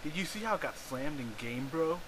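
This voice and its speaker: A man voicing snarky young internet friends reading out their chat in offhand, deadpan banter on a noisy amateur recording. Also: fairly high pitch, a little fast, lightly acted. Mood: snarky, casual.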